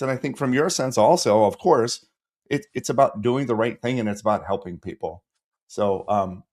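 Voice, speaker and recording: A man hosting a podcast interview, earnest and emphatic, who coaches volleyball. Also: medium pitch. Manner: earnest, builds to loud emphatic storytelling. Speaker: podcast host. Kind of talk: conversational interview.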